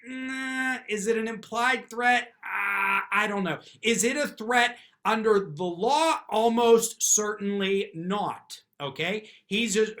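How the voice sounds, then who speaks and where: A man with a high, forceful voice delivers off-the-cuff legal commentary on the news. He puts questions to himself and answers them firmly, tagging his points with quick checks that the listener follows. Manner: emphatic, often tags points with "okay?". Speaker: lawyer commentator, video host. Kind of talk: unscripted opinion commentary on news.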